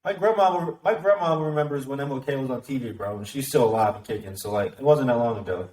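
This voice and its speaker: Quick-talking guy swearing a lot, echoey room sound. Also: mid-range voice, a little mellow. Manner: fast, emphatic, swears freely. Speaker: guest, active-duty soldier. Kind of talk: casual conversation.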